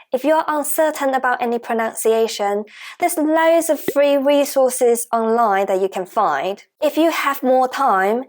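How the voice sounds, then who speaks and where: A woman's voice: on the high side, darkish tone. A woman who speaks Chinese natively, hosting her own channel, gives an encouraging, carefully paced scripted lesson in English on learning Mandarin. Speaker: Mandarin teacher, channel host.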